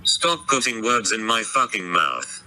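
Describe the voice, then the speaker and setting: A man's voice: lowish voice, sing-song, measured pace, pushed and bright. A man making blunt, profane accusations in a terse phone argument. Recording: phone call with faint noise.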